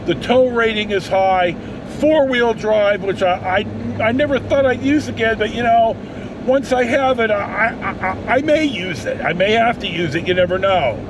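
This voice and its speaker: A middle-aged American man vlogging in a casual, rambling storytelling style, halting and blunt, with some mild swearing. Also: high-pitched. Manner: halting, blunt, mildly profane. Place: inside a pickup truck on the road. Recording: steady vehicle cabin noise.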